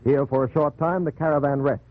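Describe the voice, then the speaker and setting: A man's voice: on the high side, steady tone, brisk, narrow, tinny audio, natural voice. A man narrating a 1940s radio drama in a formal, sweeping storytelling style. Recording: thin, noisy 1940s radio recording.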